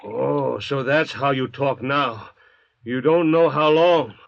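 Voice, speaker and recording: An actor playing a surly, defiant young convict in an old-time radio drama, blunt, sneering and demanding. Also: male voice, mid-range voice, takes it slowly, gravelly. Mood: defiant, resentful. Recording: dull vintage radio recording.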